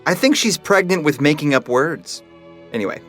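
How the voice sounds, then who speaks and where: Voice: mid-range voice, lively intonation, normal speed, a bit bright, soft background music. A podcast co-host in casual banter, talking in a wry, irreverent and sweary way.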